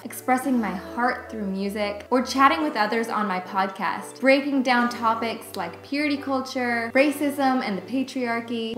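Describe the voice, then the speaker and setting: A woman sitting on a sofa, giving an earnest, measured scripted introduction to camera. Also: fairly high pitch, a bit bright, echoey room. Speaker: vlogger introducing her channel. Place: living room, seated on a sofa.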